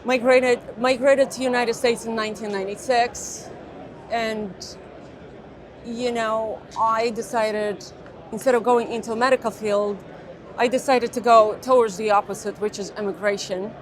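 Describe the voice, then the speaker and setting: A woman's voice: a little high, fairly even intonation, moderate pace. A woman from Ukraine, co-owner of a protection business, telling her story conversationally with frequent restarts. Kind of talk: interview answers.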